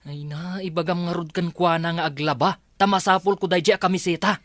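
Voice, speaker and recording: A man's voice: high voice, fairly even intonation, brisk, a bit bright, dry recording. A man with an Ilocano accent playing a surly, domineering husband in a radio-style drama. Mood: irritated.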